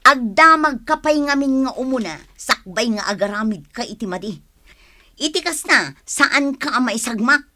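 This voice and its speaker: Woman acting as outspoken wife, high sharp voice. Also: animated, normal speed. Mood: indignant. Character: wronged, outspoken young village wife. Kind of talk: Ilocano radio drama acting.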